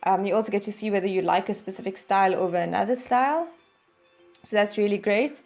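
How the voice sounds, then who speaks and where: Woman host, mid-pitched, calm review talk, faint music behind. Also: fast talker. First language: English. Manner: steady explanatory delivery with fillers. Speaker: channel host reviewing a service. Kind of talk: casual product review. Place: home in Norway.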